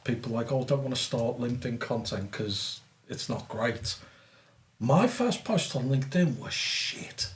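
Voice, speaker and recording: A man's voice: mid-range voice, normal speed, reverberant. A middle-aged man with a Scouse accent, co-hosting a casual podcast chat, laughing often and swearing freely. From Liverpool, England. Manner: laughs easily, swears, animated storytelling. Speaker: podcast co-host.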